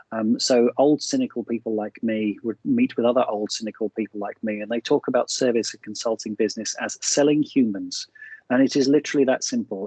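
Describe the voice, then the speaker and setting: A man's voice: medium pitch, a little mellow, slightly rough, dry recording, rough recording. A middle-aged man with an Australian accent, giving a frank, cynical seminar talk over a video call with many fillers and restarts. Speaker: guest speaker.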